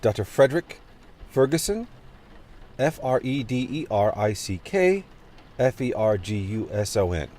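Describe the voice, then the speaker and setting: A man playing a smooth, evasive chief researcher in a sci-fi audio drama interview, formal and technical in his wording. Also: mid-range voice, very animated, unhurried, warm tone, slight background noise. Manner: formal, technical, self-assured.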